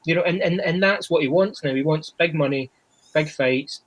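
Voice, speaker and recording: A Scottish man talking boxing on a podcast, in a fairly high-pitched voice for a man. He rambles casually and restarts often, dropping "you know" every few words. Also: normal speed. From Scotland. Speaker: boxing podcast panelist named Chris. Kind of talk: casual chat.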